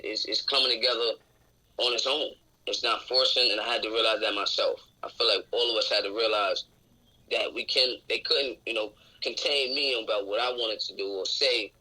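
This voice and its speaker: A raspy-voiced man in his twenties, a guest on a radio interview, talking openly and reflectively about his life. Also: on the low side, sharp tone, rough recording. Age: 20s. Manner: outspoken, open and reflective. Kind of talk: candid casual conversation. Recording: radio show recording.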